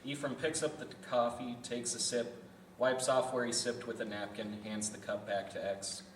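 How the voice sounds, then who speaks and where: A man's voice: mid-range voice, level tone, normal speed. Echoey, distant male narrator reading a script. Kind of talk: scripted narration at a table read. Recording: echoey room from far off, noisy.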